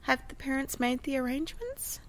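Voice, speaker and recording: A woman's voice: sits high, big pitch swings, fairly quick. A woman acting a prim, deferential bureau worker in an audio drama, earnest and proper. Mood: curious, businesslike.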